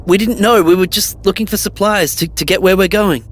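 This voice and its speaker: A man's voice: high-pitched, steady tone, a little fast. An actor playing a cautious, practical male survivor in a dystopian audio drama, casual and placating.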